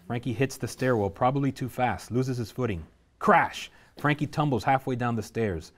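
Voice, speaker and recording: A man reading a screenplay's stage directions aloud at a table reading, steady and vivid. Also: medium pitch, moderate pace.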